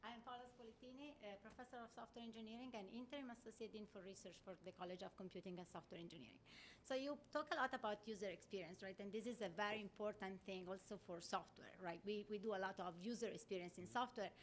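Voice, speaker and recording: A woman's voice: mid-range voice, fast talker, a little hiss or hum. A middle-aged woman with an Italian accent, a professor asking a panelist a question at an audience microphone, searching for words as she goes. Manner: searching for words, frequent "you know" and "right?".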